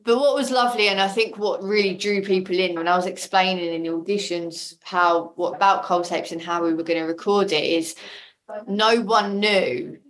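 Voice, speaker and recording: A woman's voice: mid-range voice, steady tone, normal speed. A woman talking casually and effusively as an interview guest, telling stories and repeating phrases for emphasis. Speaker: audio drama creator and casting lead, interview guest. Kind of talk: casual conversational storytelling in an interview.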